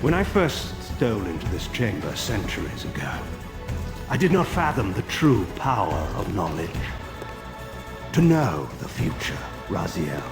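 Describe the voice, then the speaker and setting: An actor playing an arrogant, philosophical ancient vampire lord in a video game, with grandiose, sinister theatrical oratory. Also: male voice, deep voice, sing-song, unhurried, reverberant, music in the background, heavily acted. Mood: grandiose, musing. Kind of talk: dramatic video game acting.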